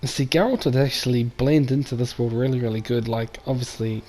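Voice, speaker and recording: Croaky man rambling over gameplay. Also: medium pitch, a little fast, a little mellow, faint music behind. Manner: rambling, many ums. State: croaky from a flu. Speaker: gamer. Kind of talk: casual let's-play commentary.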